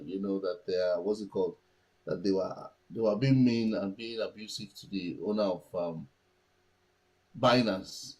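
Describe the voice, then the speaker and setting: A man's voice: fairly low pitch, darkish tone, slightly rough, poor audio. A man whose first language is Yoruba, giving casual market commentary and thinking aloud with many restarts and fillers.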